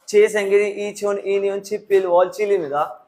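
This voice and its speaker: A man's voice: high-pitched, level tone, moderate pace, a little mellow. A man in his early twenties from Pakistan, a learner with a Pakistani accent, giving rehearsed formal interview answers. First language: not Korean, a learner. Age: early 20s. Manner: recited, formal. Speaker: student practising for a work-visa interview.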